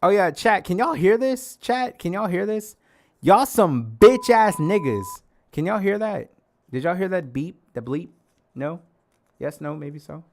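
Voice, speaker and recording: A male streamer talking to his chat in a loose, rambling way, loud and profane with lots of joking. His voice is gravelly with plenty of fry and sounds hoarse, as if he is losing it. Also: high voice, expressive, a little slow, a little hiss or hum. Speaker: livestreamer. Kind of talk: casual stream chat to viewers.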